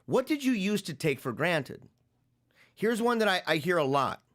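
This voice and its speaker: A man in his forties, a podcast host musing on a book in rambling solo commentary, often halting and repeating phrases as he thinks aloud. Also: sits high, sing-song, fairly bright tone. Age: 40s.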